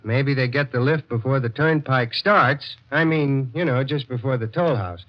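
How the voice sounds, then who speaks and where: An actor playing a chatty, easygoing gas station attendant in a 1940s radio drama, on an old lo-fi recording. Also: male voice, medium pitch, moderate pace, natural voice. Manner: folksy, easygoing, polite.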